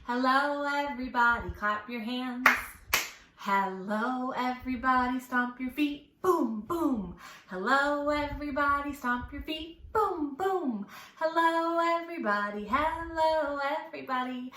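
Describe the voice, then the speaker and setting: Lady doing storytime for little kids, highish voice, playful and encouraging, kinda echoey room. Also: unhurried, pushed and bright. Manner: encouraging, playful, exaggerated enunciation for young children. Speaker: children's storytime host. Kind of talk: children's lesson with songs and questions.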